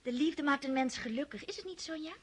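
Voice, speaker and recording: A woman's voice: high-pitched, fast talker, natural voice. An actress in a radio play, voicing a joyful, newly engaged young noblewoman who speaks effusively. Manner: effusive, excitable.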